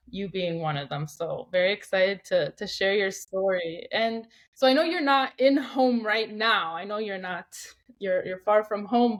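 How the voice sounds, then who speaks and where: A woman interviewer speaking in a conversational way, feeling out her phrases with hesitant pauses. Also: mid-range voice.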